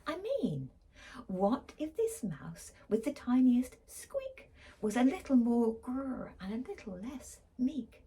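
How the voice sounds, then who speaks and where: A woman voicing a timid, tiny male mouse in a storybook, speaking in hushed, wishful tones. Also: big pitch swings, slow pace, heavily acted. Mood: hopeful. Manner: hushed, wishful, high and breathy.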